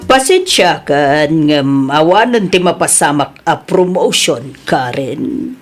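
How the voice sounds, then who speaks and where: Actress for firm, patient female boss, Filipino accent, audio drama. Unhurried pace, slightly low voice, measured manner. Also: lively intonation, music in the background. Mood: stern, reproving. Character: woman boss, patient but firm, reproving staff. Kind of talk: acted audio comedy-drama dialogue.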